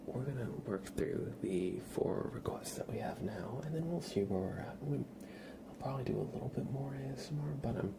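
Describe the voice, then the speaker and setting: A man whispering softly and breathily as he chats casually with viewers on an ASMR livestream. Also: mid-range voice, expressive, moderate pace, creaky, few pauses, very noisy. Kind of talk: ASMR, chatting softly with live chat.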